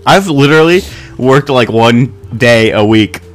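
A young man with a stuffy, congested voice, co-hosting a casual podcast. He tells rambling, self-mocking stories at a middle pitch, with faint music underneath. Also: animated, a little slow. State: stuffed up with a cold. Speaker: podcast co-host.

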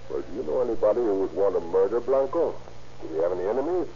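Radio police detective, deep and mellow, brisk, businesslike, probing. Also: male voice, expressive. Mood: interrogating. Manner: clipped, probing questioning. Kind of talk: 1930s radio acting. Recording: muffled, noisy old radio recording.

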